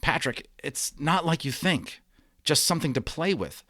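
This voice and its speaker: A man's voice: a little high, very animated, normal speed, sharp tone, no echo, studio quality, pitched above the performer's own voice, exaggerated voice. A man voicing an earnest young boy from a children's storybook, pleading and remonstrating in an exasperated way.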